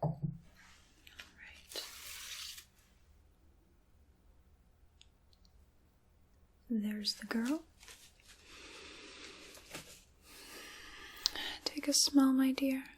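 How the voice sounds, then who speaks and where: A woman voicing an ancient, sly dark witch in an ASMR roleplay, in a breathy whisper with deliberate, theatrical, old-fashioned phrasing. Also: high voice, a little hiss or hum. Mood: intimate, coaxing.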